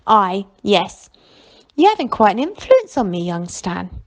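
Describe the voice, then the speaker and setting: Storybook casting: wistful rough-edged fairground man played by a woman, folksy dialect, expressive pitch, some gravel. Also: a little slow. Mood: marvelling. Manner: folksy dialect speech, marvelling.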